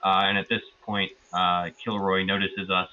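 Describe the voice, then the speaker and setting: A man's voice: low voice, flat delivery, moderate pace, pushed and bright, dull audio. A man giving a casual, rambling and amused recap of a tabletop roleplaying session as one of the players.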